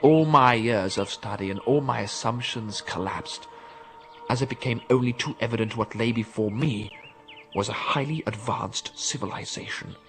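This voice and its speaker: An actor playing a professor who recounts a time-travel expedition in measured, literary radio drama narration, full of awe. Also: male voice, medium pitch, over music, close to an everyday voice, poor audio. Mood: awestruck.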